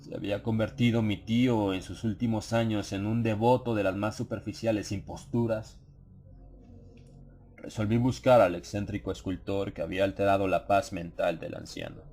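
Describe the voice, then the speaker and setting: Low-pitched man narrating horror fiction. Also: steady tone, normal speed, warm tone, soft background music. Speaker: audiobook narrator. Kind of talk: dramatized scripted horror narration.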